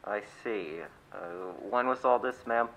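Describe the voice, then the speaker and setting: A man's voice: lowish voice, takes it slowly, vocal fry, a bit bright, slight background noise, full character voice. A man playing an unimpressed, patronising police desk officer in a suspense radio play, matter-of-fact, with muffled sound. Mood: bored, placating.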